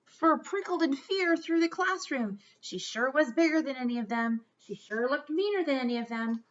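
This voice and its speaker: A woman with a fairly high voice reads a children's story aloud. Her delivery is expressive, with dramatic emphasis suited to young listeners. The home recording sounds a little dull. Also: moderate pace. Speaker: school storytime reader.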